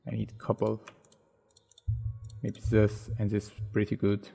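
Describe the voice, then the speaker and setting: Tutorial narrator, man, non-native English, gravelly and fairly low, halting think-aloud delivery. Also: completely flat delivery, mellow. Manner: unhurried, thinks aloud step by step with many hesitations. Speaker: software tutorial instructor. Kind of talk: narrating a screen-recorded modeling tutorial.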